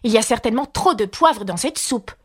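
A woman's voice: fairly high pitch, animated, a little fast. A woman voicing a polite, curious young girl. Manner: polite, earnest, anxious. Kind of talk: audiobook character voice.

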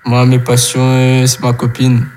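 A man's voice: medium pitch, monotone, measured pace, darkish tone, a little hiss or hum, low-quality recording. A teenage boy, speaking shyly and politely in a mumbled voice. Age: teens. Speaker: guest.

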